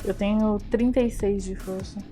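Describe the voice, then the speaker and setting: A woman's voice: mid-range voice, steady tone, normal speed, mellow. A Brazilian woman casually narrating her character's actions as a player in a tabletop role-playing game. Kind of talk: casual first-person description of game actions. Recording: music playing behind the voice. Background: music.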